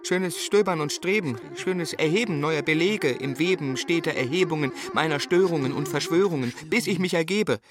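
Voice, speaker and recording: An actor playing an evasive, overwhelmed male schoolteacher in a radio drama, with a halting, guarded and formal manner. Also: on the high side, over music, natural voice. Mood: bitter, manic. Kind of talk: stage-like radio drama dialogue.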